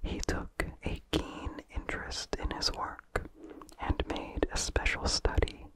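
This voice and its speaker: Slow, breathy ASMR whisperer. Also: poor audio. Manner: slow, calm, careful enunciation. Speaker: ASMR host and story reader. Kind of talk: whispered ASMR story reading.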